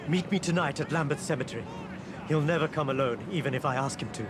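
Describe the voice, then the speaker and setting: An actor playing an exiled Indian maharaja in a game cutscene, earnest, dignified and resolute, speaking formally and with measure. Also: male voice, on the high side, quick pace, music in the background, natural voice. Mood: commanding. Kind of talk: video game cutscene acting.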